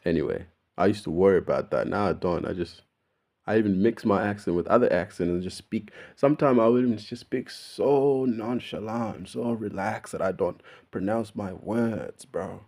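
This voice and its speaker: A man's voice: on the low side, normal speed, vocal fry, darkish tone. A man with a Melbourne Australian accent, talking casually straight to his viewers in a laid-back, swearing monologue. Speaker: YouTuber.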